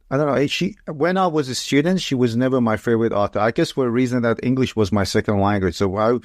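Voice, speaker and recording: A man's voice: medium pitch, dry recording, clean studio sound. A man from Iran, hosting an interview in a friendly, hesitant way with frequent restarts. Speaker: podcast host. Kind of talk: interview conversation.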